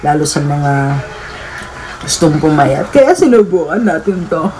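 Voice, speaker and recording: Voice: medium pitch, animated, a little slow, darkish tone, a little hiss or hum. A food vlogger chatting casually while tasting fruit, mixing English phrases into the talk. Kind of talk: casual eating vlog.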